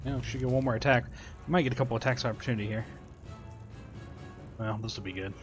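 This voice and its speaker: A man's voice: mid-range voice, quick pace, faint music behind. A man giving casual gameplay commentary, muttering to himself and reading tips aloud. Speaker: game video host.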